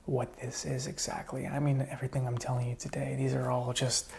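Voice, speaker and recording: A man playing a calm, helpful survivor in a zombie apocalypse ASMR roleplay, whispering and speaking softly in a hesitant, intimate, musing way. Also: medium pitch, a little fast, gravelly, a little hiss or hum, natural voice. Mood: hushed, uneasy.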